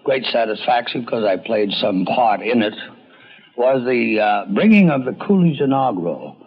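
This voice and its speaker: An older man reminisces in an interview, choosing formal words with emphasis. His husky voice moves in lively swings of pitch. The archive recording is thin and phone-like. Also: normal speed. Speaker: radio veteran, former commercial manager. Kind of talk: recollecting in an interview.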